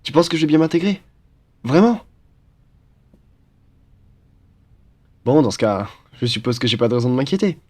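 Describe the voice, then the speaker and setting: A man's voice: mid-range voice, very animated, quick pace, a little mellow. A cheerful young student played by a man chats one-on-one with a new roommate in an audio roleplay. His voice sits in a medium register, and his manner is playful and teasing, with easy, friendly banter. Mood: earnest, open. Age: young adult. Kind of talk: ASMR audio roleplay, casual one-sided chat.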